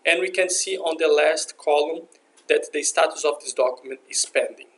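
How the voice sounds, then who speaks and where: Higher-pitched man narrating a software tutorial. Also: normal speed. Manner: step-by-step, explanatory. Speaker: software instructor.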